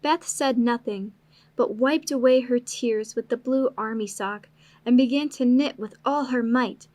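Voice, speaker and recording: A woman's voice: a little high, a little slow, darkish tone. A woman narrator reading a classic family story in a scripted storybook style.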